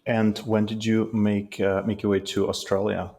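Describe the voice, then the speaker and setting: A man's voice: on the low side, level tone, moderate pace, dark tone. A man hosting a podcast, asking questions in a hesitant way with fillers. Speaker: podcast host. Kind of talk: interview questions.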